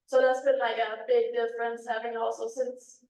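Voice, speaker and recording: A young Danish-accented woman with a slightly high voice talks casually, filling pauses with ums and likes. She sounds a little far from the mic, and the meeting room gives her voice a noticeable echo. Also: little pitch movement, darkish tone. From Denmark. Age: young adult. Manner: chatty with many ums and likes. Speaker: exchange student. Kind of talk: casual talk at a club meeting.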